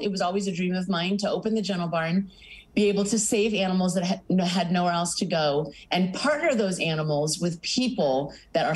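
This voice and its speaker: A woman's voice: lowish voice, rough recording. A middle-aged woman, founder of an animal sanctuary, speaking earnestly over a video call from a home office in a news interview. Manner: earnest, lists things in long runs. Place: home office with pictures on the wall. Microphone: video call webcam.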